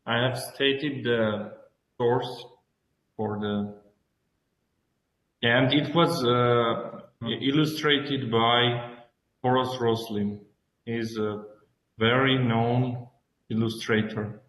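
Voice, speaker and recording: A man with an Armenian accent presents a project over a video call. He talks slowly and haltingly, searching for words, in an average-pitched voice. The room sounds very echoey. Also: fairly even intonation, a bit bright. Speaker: student presenting project, schoolteacher.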